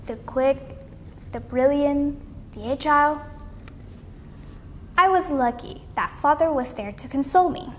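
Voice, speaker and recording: A young woman reads a storybook aloud in a very high voice. Her phrasing is slow, deliberate and dramatic, heard with long hall reverb and some faint noise. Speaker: read-aloud competition contestant. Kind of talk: expressive storybook read-aloud.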